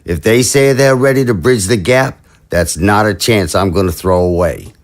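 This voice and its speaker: A man playing a measured, commanding leader. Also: on the low side, lightly acted. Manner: measured, authoritative. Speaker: head of state giving orders.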